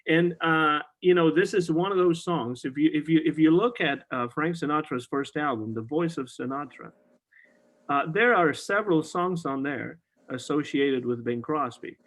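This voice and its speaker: A man's voice: on the high side, normal speed, a bit bright. A middle-aged man hosting a podcast, talking casually and enthusiastically about old songs, with many fillers and restarts. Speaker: podcast host. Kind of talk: casual conversation about music. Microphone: video call.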